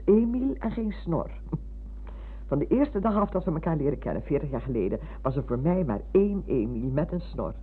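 Radio play: garrulous old schoolmistress, devoted wife, husky, on a thin old radio recording. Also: female voice, deep voice, phone-quality sound. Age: older. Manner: garrulous, halting with repetitions.

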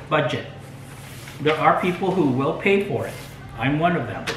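A man's voice: on the high side, fairly bright tone, roomy sound, a little hiss or hum, low-quality recording. An older man talking casually to the camera from his dining room table about planning an RV road trip, opinionated and chuckling now and then. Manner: slow, opinionated, chuckles as he talks. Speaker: RV travel vlogger. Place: home dining room, indoors. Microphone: fixed camera across the table.

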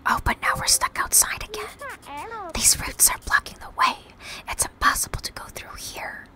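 A woman voicing a small, excitable, anxious firefly in a game, in a breathy, questioning whisper. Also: moderate pace. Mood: distressed. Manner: exclamatory, questioning.

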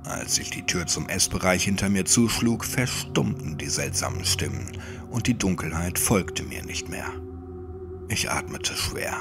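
A man narrating a scripted first-person horror story like an audiobook, in a measured, suspenseful manner. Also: low-pitched, gravelly.

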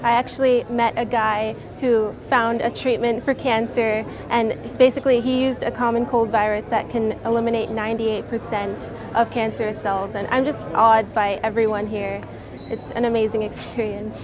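A woman's voice: a little high, level tone, moderate pace. A teenage girl from New Orleans, a science fair student, talking eagerly and chattily in an interview with many fillers. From New Orleans, Louisiana. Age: teens. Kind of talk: casual interview answers. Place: busy convention hall. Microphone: lapel mic. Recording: crowd chatter behind.

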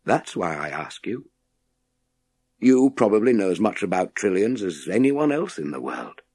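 A man playing a world-weary, authoritative former astronaut with a wry, intense, deliberate manner. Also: lowish voice, big pitch swings, a little mellow, slightly rough, no room sound, studio quality, heavily acted. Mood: brusque, wry.

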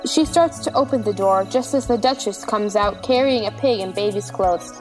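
A woman's voice: mid-range voice, normal speed, darkish tone, soft background music. A woman narrating stage directions from a script for a storybook play.

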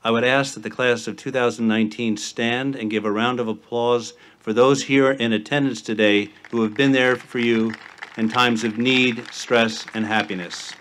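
A middle-aged man addresses a graduating class from an outdoor podium. He speaks in a measured, formal cadence, building rhythmic lists. His voice sits at an ordinary mid pitch. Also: fairly even intonation, a bit bright, a little hiss or hum. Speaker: headmaster. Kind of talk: commencement address. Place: outdoor stage at a campus ceremony. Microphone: podium microphone with PA.

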